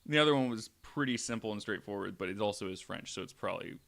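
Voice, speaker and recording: A man's voice: on the low side, expressive, fast, vocal fry, fairly bright tone. A man co-hosting a podcast, talking informally and wryly between casual chat and reading out stories. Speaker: podcast co-host.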